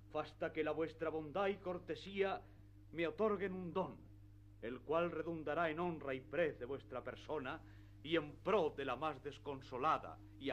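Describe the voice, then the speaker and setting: Actor haciendo de falso escudero suplicante, voz aguda. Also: male voice, a little high, highs cut off, close to an everyday voice. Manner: florid, ceremonious, beseeching. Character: fake bearded squire, grandly pleading on his knees. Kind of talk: radio play acting.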